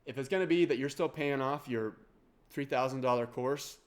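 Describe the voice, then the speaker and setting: A man's voice: medium pitch, normal speed. A man in his forties with a Kansas accent and a nasal voice, talking casually about exam prep in a rambling, self-deprecating way. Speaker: CPA exam prep course owner. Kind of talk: casual talk to camera.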